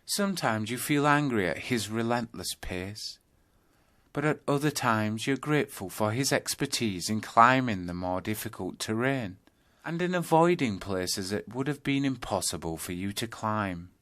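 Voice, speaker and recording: Man, lower-pitched voice, guided meditation narration, measured storytelling, instructional. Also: expressive, fairly bright tone. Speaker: yoga nidra meditation guide.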